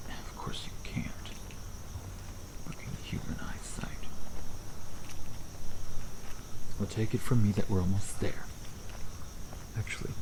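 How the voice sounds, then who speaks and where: A low-voiced, sardonic male vampire, acted by a man for an ASMR roleplay. His delivery is gravelly and unhurried, teasing with a predatory edge. Night insects sound clearly behind him. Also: noisy background. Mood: irritated. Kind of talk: ASMR roleplay, intimate scripted acting.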